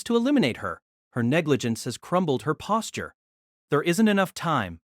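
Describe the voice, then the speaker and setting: A man's voice: high-pitched, dry recording, studio quality. A man reading scripted dramatic narration for an audio drama.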